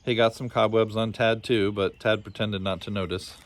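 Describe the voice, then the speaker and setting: Male narrator, low voice, reading a children's storybook. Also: flat delivery, moderate pace. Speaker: storybook reader.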